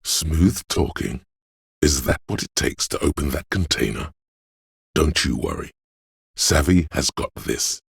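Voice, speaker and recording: A man voicing a slick, suave inner voice that narrates a game in the second person, measured and wryly theatrical. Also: lively intonation, dry recording, clean studio sound, heavily acted. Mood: sly, goading. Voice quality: very deep, husky.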